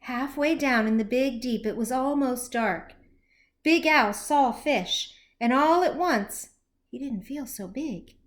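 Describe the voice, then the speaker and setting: Woman, high voice, unhurried pace, expressive storybook read-aloud, teacherly, aimed at small children. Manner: animated, slow, deliberate, with questions to young listeners. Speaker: teacher reading a picture book to children.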